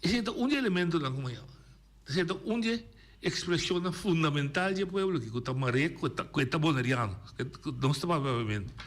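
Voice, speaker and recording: A man hosting a radio call-in discussion, talking in an animated, emphatic way with many restarts. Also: on the high side, normal speed. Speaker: radio host. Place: radio studio.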